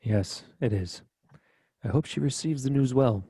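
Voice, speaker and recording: Man as solemn male archangel, mellow tone, dry sound, grave declamation, stage-play table read. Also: mid-range voice, measured pace, slightly rough, no room sound. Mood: earnest, solemn. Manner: grave, declamatory, occasionally stumbling over the script.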